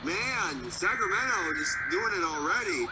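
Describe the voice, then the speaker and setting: A man's voice: high voice, very animated, measured pace, a crowd in the background, poor audio. A man chatting casually as a companion in a video. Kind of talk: casual chat.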